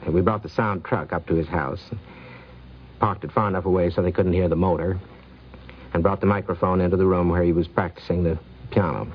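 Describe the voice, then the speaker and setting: A man with a very low voice recounts anecdotes in an unhurried, reflective manner. The recording is dull and lo-fi, from 1950s radio. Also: fairly even intonation, breathy edge. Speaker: film producer.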